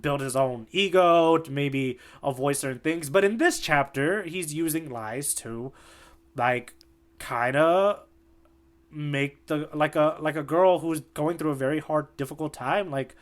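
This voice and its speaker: A man's voice: a little high, a little slow. Joking man hosting a podcast. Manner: joking, loose and filler-heavy. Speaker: podcast host. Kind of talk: casual chat.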